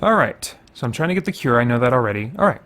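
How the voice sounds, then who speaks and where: A man's voice: medium pitch, very animated, very fast, a bit bright. A middle-aged man hosting a gaming show, giving casual commentary and thinking aloud in a rambling way.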